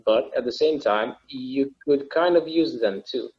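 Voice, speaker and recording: A man's voice: medium pitch, unhurried, close to an everyday voice. A man acts out an easygoing, inventive husband in a stage play read. His mid-range voice is conversational and musing. The recording is a little dull and lo-fi. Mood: musing, eager. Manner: casual, musing, agreeable. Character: easygoing, imaginative husband who enjoys inventing games.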